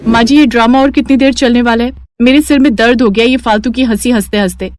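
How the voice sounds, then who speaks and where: Woman acting a haughty young bride, high and snappy. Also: fairly even intonation, fast, a little mellow, no room sound, clean studio sound, natural voice. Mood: scornful, irritated. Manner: snapping, scornful.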